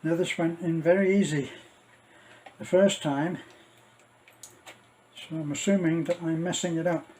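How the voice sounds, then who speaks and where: A middle-aged man giving a casual, rambling unboxing commentary, halting and thinking aloud as he goes. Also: a little high, fairly even intonation, darkish tone, a little hiss or hum. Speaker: vlogger.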